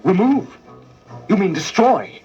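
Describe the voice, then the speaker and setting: Earnest space officer, highish animated voice, emphatic, dull old record. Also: male voice, slow, exaggerated voice. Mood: alarmed. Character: earnest, impulsive adult male starship officer. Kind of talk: read-along record dramatization. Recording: dull vintage read-along record with music.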